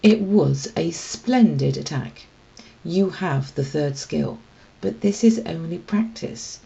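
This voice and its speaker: A woman's voice: deep voice, lively intonation, slow pace, creaky, dark tone, muffled recording, exaggerated voice. A woman voicing a stern, wise old male cat who teaches emphatically, in a children's storybook reading. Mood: firm, teaching. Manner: emphatic, instructive. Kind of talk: children's storybook read aloud.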